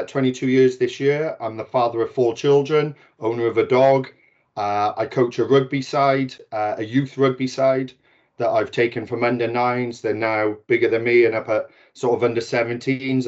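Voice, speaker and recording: A Welsh man in his forties speaks as a guest on a video call, his voice sitting at an ordinary middle pitch. He talks in a chatty, self-deprecating way with frequent restarts. The audio is dull and lo-fi. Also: darkish tone. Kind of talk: webinar talk.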